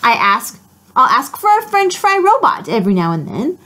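A woman's voice: fairly high pitch, huge pitch swings, moderate pace, bright, forceful tone, voice pushed down, heavily acted. A woman voicing a dramatic, whiny pigeon in a storybook, complaining and wailing. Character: whiny, dramatic pigeon who always asks.

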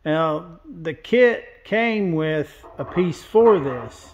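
A man's voice: on the high side, lively intonation, extremely slow, muffled recording, slight background noise. A man welding and fabricating, walking through his work in a shop in a plain-spoken, casual way as he points things out. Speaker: welder and fabricator. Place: large indoor metal fabrication shop.

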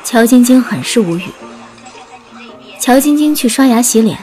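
Lively high-voiced woman narrating an audiobook. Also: moderate pace. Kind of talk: scripted story narration, audiobook. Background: background music.

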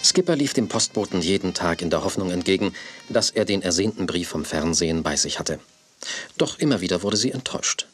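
A man's voice: sits low, animated, a little fast. A man narrating a children's audio drama as a scripted storyteller.

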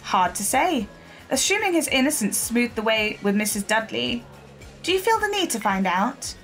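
An actress playing a persistent, shrewd newspaper reporter in an audio drama, speaking confidently and articulately. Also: female voice, a little high, very animated, normal speed, a bit bright, soft background music. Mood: breezy, pleased.

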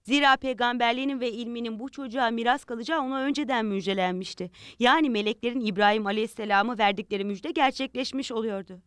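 A woman playing a devout village woman, earnest and explanatory, in an animated religious film. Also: a little high, fast talker, hardly any pauses, smooth, close to an everyday voice. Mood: reverent.

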